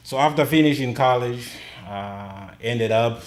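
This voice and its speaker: A man's voice: mid-range voice, measured pace, echoey room. A middle-aged man from Zimbabwe, talking earnestly as a podcast guest and repeating key phrases for emphasis. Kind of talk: casual conversation.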